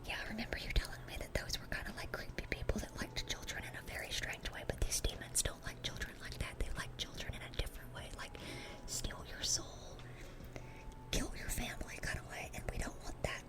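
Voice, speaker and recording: A woman's voice: a little fast, natural voice. Woman playing a whispering, dorky demon girl. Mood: earnest rambling. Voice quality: breathy, unvoiced whisper. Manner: rambling, easily sidetracked, earnest and chatty. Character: dorky, rambling, sweet-natured young demon girl. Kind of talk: ASMR roleplay, whispered monologue.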